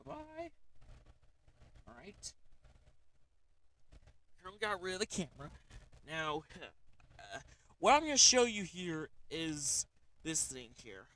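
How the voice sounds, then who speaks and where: A man's voice: on the high side, wildly swooping pitch, slow, pauses often, pushed and bright, recorded from a distance, rough recording. A man hosting a casual livestream, rambling with lots of fillers as he shows off a gadget. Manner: rambling, frequent fillers and "alright?" check-ins. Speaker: streamer.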